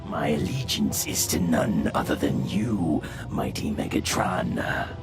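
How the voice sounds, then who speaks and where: A man's voice: very deep voice, animated, music in the background, heavily acted. A man playing a menacing, honor-bound robot warrior in a cartoon radio drama, with a growling voice and an archaic, dramatic way of speaking. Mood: solemn, loyal. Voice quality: gravelly, growling. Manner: slow, archaic, dramatic delivery. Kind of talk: animated-series radio drama acting.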